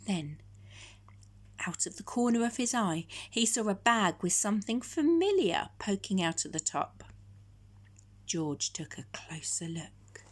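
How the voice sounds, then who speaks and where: Slow, expressive woman reading a story. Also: mid-range voice. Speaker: storytime reader. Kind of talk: scripted children's story narration.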